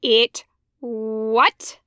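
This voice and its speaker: A woman playing an exasperated, high-pitched young man. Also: steady tone, very slow, pushed and bright, no echo, studio quality, heavily acted. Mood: panicked, insistent. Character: exasperated, whiny, impulsive young man scheming as matchmaker.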